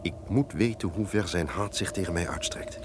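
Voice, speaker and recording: A low-voiced, guilt-ridden lord of a castle played by a male actor, commanding and suspicious. He declaims theatrically, moving between barked orders and dark brooding. It is old-fashioned radio drama on a hissy, noisy recording. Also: moderate pace, close to an everyday voice. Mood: guarded, commanding.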